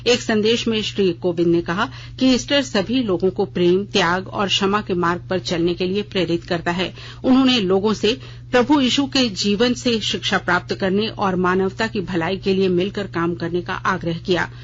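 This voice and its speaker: A news reader delivering a scripted radio bulletin in a formal, measured way. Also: mid-range voice, fairly even intonation. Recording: dull lo-fi radio audio with noise and hum.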